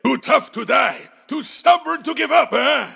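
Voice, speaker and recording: An actor playing a stubborn, boastful, battle-hardened warrior in a game, with a gravelly, raspy voice. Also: male voice, very high-pitched, expressive, measured pace, sharp tone, raised voice, soft background music, full character voice. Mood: defiant. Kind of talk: game voice lines, dramatic acting.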